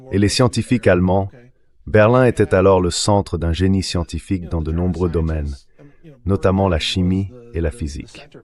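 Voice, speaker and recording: A low-pitched AI-read male voice plays the part of an expert guest in a dubbed interview. The delivery is didactic, laying out each explanation step by step. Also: darkish tone.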